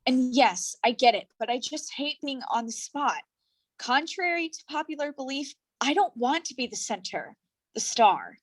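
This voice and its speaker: A woman's voice: high voice, very animated, moderate pace, rough recording. A woman acting as a guarded, stubborn young actress in a staged play reading, with a defensive, blunt manner.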